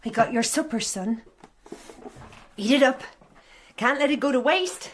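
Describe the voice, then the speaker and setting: High-voiced doting country mother. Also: a little slow, slight background noise. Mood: coaxing, eager. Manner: fussing, tender, coaxing. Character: doting, insistent country mother fussing over grown son. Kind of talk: radio drama acting.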